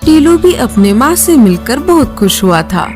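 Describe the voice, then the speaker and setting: A woman's voice: high-pitched, big pitch swings, moderate pace, music in the background. A woman narrator reading a scripted children's cartoon story.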